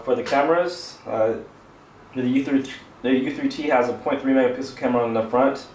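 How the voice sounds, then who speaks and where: A man with a medium-pitched voice hosts an unscripted tech review. He talks off the cuff, halting and hesitating as he works through his commentary. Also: darkish tone, a little hiss or hum. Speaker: tech reviewer and channel host.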